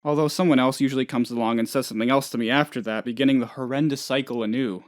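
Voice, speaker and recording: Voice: mid-range voice, fairly even intonation, fast talker, lightly acted. An actor playing a dim, anxious, childishly self-important office boss in a comedic video game, rambling hesitantly and turning whiny when upset. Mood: awkward, earnest. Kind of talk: comedic game dialogue, voice lines.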